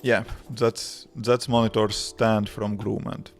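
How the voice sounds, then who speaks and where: A man in his thirties with a Latvian accent, a developer chatting casually on a live stream in simple, halting English with many restarts. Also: fairly low pitch, level tone, soft background music. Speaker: livestreaming developer answering chat.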